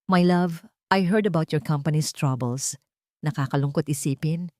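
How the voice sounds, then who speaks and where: A woman's voice: deep voice, normal speed, darkish tone, dry recording, clean studio sound, lightly acted. A woman voicing a scheming, bitter socialite in an audio story, arrogant and mixing Tagalog with English. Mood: smug.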